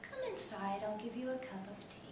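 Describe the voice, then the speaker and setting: A soothing wise old woman speaks in a soft, lowered voice, acted by a woman storyteller. The sound is roomy and echoing, and it is picked up from a distance. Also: lively intonation, fairly quick, background noise, natural voice. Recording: echoey room, voice from far off.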